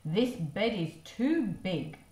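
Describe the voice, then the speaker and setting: A fussy, curious male storybook creature, voiced by a woman reader speaks very slowly and deliberately. The voice moves expressively in a roomy, echoing space. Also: mid-range voice, big pitch swings, warm tone. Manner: deliberate, repetitive pronouncements. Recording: roomy echo.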